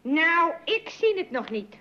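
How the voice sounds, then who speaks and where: An actress playing a nervous, folksy housemaid who chatters hesitantly and deferentially, in old radio comedy style. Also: female voice, very high voice, big pitch swings, slow, bright, forceful tone, exaggerated voice. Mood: curious, doubtful. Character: nervous, fearful, chattering working-class housemaid. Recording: muffled, noisy old radio recording.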